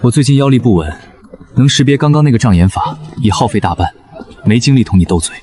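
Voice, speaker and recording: A man's voice: medium pitch, lively intonation, quick pace, music in the background, close to an everyday voice. A man playing a haughty, sardonic young chancellor. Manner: aloof, sardonic. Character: cool haughty male chancellor, sharp-tongued secret rabbit spirit. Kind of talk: Chinese period animated drama dubbing.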